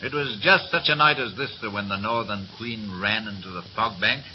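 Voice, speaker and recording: An actor playing a steady, respectful ship's quartermaster in a 1930s radio play, measured and deferential. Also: male voice, on the low side, natural voice. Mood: grave storytelling. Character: steady, respectful male quartermaster, secretly a disgraced captain. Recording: muffled, noisy 1930s radio recording.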